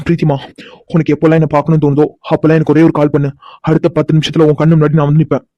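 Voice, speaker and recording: A man playing a tender, protective man holding back sadness, speaking gently and reassuringly in an emotional audio drama. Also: a little high, completely flat delivery, rapid-fire, no room sound, lo-fi sound, lightly acted.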